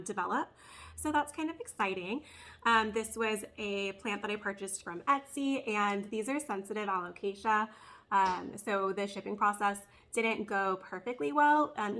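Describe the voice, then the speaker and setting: A woman's voice: mid-range voice, sharp tone. A woman with a slightly nasally, congested voice from a cold, chatting casually and bubbly as a plant vlog host.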